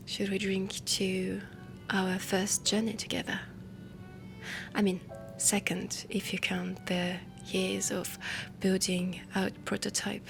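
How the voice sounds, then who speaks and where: A woman playing a refined, ambitious heiress inventor in a steampunk audio roleplay, speaking softly and deliberately with a French accent, often close to a whisper. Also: medium pitch, vocal fry. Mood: warm, flirtatious. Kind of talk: soft intimate audio roleplay. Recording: music under the voice. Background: background music.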